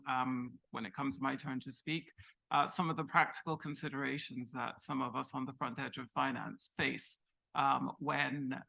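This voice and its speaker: Gravelly bright-voiced man, deliberate. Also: medium pitch, no room sound, poor audio. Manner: deliberate, with frequent "um"s. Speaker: panelist, fund structuring lead. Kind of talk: conference panel talk.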